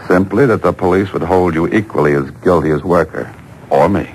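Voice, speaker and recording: A man's voice: low voice, a little fast, a little mellow. An American actor playing a coolly menacing, wry and taunting man on the run in an old-time radio drama. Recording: noisy old radio show taped off air.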